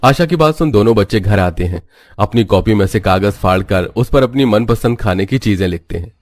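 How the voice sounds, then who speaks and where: Low-pitched man narrating a story, dry sound. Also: lively intonation, a little fast, a little mellow, dry recording. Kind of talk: scripted cartoon story narration.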